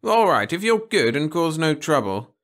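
A man's voice: a little high, big pitch swings, moderate pace, fairly bright tone, pitched above the performer's own voice. A man voicing a strict, fussy, complacent woman schoolteacher in a storybook reading, scolding and primly authoritative. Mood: firm, prim. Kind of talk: storybook audiobook character voice.

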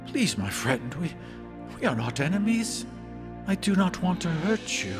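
Man playing peaceable tentacled creature, raised pitch, polite, earnest, pleading, tabletop game, music behind. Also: sing-song, measured pace. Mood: pleading, frightened.

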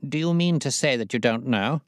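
A man's voice: mid-range voice, expressive, fairly quick, no room sound, clean studio sound, pitched above the performer's own voice, lightly acted. A man voicing a shrewd, self-assured detective in an audiobook, reasoning in a formal, incisive manner. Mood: cool, questioning. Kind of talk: audiobook character voice.

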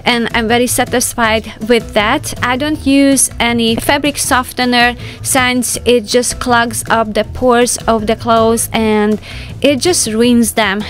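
A middle-aged woman narrating her household chores and cooking in a calm, explanatory voice-over, with slightly non-native phrasing. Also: on the high side. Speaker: homemaking vlogger.

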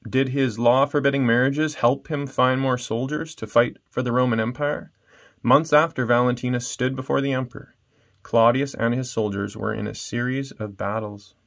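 A man's voice: medium pitch, moderate pace, gravelly, fairly bright tone. A man, reading a children's story aloud as narrator. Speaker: storybook reader. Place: small room indoors at home.

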